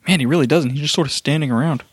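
A man's voice: a little high, rapid-fire, husky, no room sound, studio quality. A man joking casually between takes as an amateur voice actor, self-mocking and exasperated.

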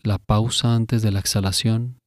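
A man narrating a scripted guided meditation in a measured, instructive way, pausing between phrases. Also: on the low side, little pitch movement, darkish tone, no room sound, studio quality.

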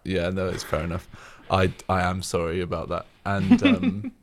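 Man in his twenties from England, somewhat low voice, hesitant and self-deprecating, casual chat. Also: wildly swooping pitch, moderate pace, slight background noise. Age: 20s. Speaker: church worship and compassion leader.

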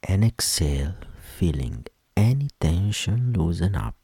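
A man leading a guided meditation in a soft, soothing voice with gentle instructions that trail into whispers. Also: sits low, sing-song, slightly rough, dry recording. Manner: slow, gentle instructions with long pauses.

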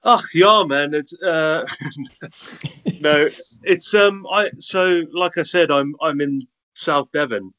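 A man's voice: fairly high pitch, takes it slowly, sharp tone. Cheerful South African man telling stories. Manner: cheerful, anecdotal storytelling. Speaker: guest, content creator. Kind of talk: casual interview chat.

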